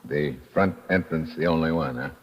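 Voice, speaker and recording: An actor playing a tough, wry hard-boiled American adventurer, terse and slangy, in a 1950s radio drama. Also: male voice, a bass voice, normal speed. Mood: gruff, guarded. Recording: muffled old radio recording, reverberant, noisy.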